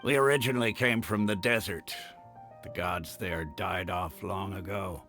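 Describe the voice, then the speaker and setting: An actor playing a frank, hearty older retired mercenary advisor in a video game, plain-spoken. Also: male voice, sits low, steady tone, moderate pace, a bit bright, soft background music. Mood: frank, explanatory.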